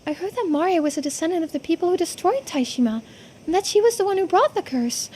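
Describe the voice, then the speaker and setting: A woman voicing an excitable, inquisitive young islander in video game dialogue, eager and full of questions. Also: very high voice, fast, a little hiss or hum. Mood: astonished, questioning.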